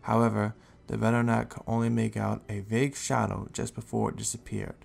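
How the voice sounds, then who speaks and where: Man acting calm gravelly low-voiced Raichu guardian. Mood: earnest, explaining. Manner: measured, explanatory. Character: calm, helpful adult male Raichu guardian, steady and responsible. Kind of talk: audio drama dialogue.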